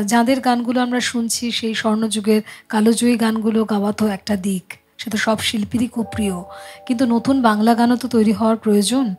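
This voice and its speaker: A woman, hosting a conversational interview. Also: a little high. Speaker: show host interviewing a singer.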